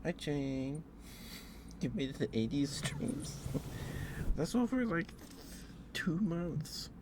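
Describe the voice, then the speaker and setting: A man's voice: fairly high pitch, animated, slow, background noise. A man livestreaming ASMR, chatting casually and cooing affectionately to viewers, often dropping into a whisper close to the mic.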